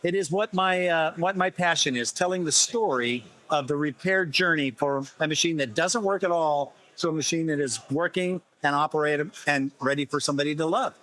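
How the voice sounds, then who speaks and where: A man with a slightly hoarse voice, explaining his vintage repair work conversationally in an interview, often restarting phrases. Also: a little high, moderate pace, few pauses, fairly bright tone, rough recording. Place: busy festival exhibit table.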